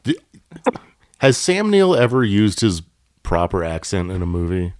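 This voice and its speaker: A man's voice: low-pitched, big pitch swings, clean tone, no echo, studio quality. A man co-hosting a casual movie podcast, chiming in often and swearing freely. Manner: quick agreeing interjections, swears casually. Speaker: podcast co-host.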